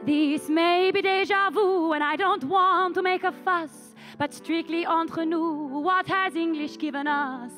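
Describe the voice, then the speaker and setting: Woman acting as a flamboyant French chanteuse, put-on French accent, very high voice, live band backing. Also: measured pace, hardly any pauses, a bit bright, faint music behind. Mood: mocking song. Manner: camp, mocking, flamboyant, sung with musical backing. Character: haughty French female chanteuse, theatrical and scornful. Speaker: cabaret performer. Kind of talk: comic cabaret song and patter.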